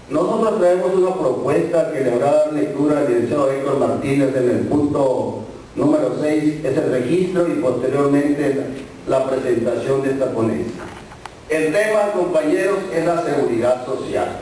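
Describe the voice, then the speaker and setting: A man's voice: a little high, warm tone. A man who represents a farm workers' union, giving an earnest speech at a public forum. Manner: measured, earnest speechmaking with fillers. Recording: echoey room, voice from far off, faint noise.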